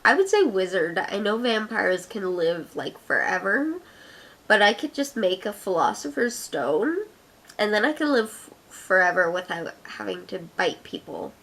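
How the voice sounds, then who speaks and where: A woman's voice: medium pitch, very animated, measured pace, fairly bright tone. Young Canadian woman, Ottawa accent, mid-range voice, chatty and giggly vlogger reading aloud. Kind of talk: casual accent challenge, reading her own fiction aloud.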